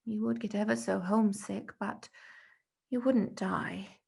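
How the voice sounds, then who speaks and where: A sad, lonely schoolboy from a storybook, voiced by a woman reading aloud. He speaks in a forlorn, subdued way, with some creak in the voice. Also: medium pitch, fairly even intonation, a little slow, darkish tone, full character voice. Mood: resigned. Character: forlorn, homesick schoolboy left at school for Christmas.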